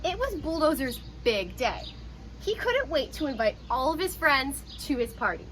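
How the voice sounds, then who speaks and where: Casting: female read-aloud storyteller, high voice, very lively intonation, some background noise. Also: normal speed. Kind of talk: expressive children's story narration.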